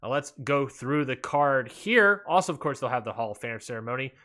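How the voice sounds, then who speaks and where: Man, podcast host, bright tone, eager rambling recap. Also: mid-range voice, animated, a little fast. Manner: enthusiastic, rambling with fillers and restarts. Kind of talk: casual wrestling recap.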